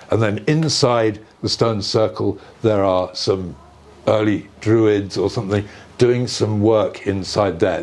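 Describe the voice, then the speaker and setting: An older man giving an informal explanatory talk in a discursive, conversational way, with hesitant pauses and small asides. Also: on the low side, a little mellow. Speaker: speaker on earth energies and ancient sites. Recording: live talk with clear background noise.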